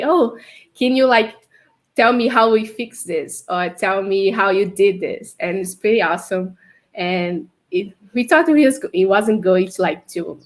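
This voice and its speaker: A young woman from Brazil with a Brazilian Portuguese accent, chatting casually as a guest on a livestream interview over a video call, laughing often. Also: mid-range voice. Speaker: guest, developer advocate.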